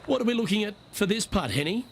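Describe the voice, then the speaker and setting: A man's voice: high voice, lively intonation, fast, gravelly, background noise. A man voicing a composed golf broadcast anchor in a video game, calling play as lead commentator. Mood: composed, upbeat.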